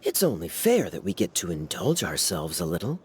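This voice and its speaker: An actor playing a suave, teasing young knight in an anime-style video game, smooth and playful in his drawling delivery. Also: sits low, huge pitch swings, moderate pace, darkish tone, a little hiss or hum.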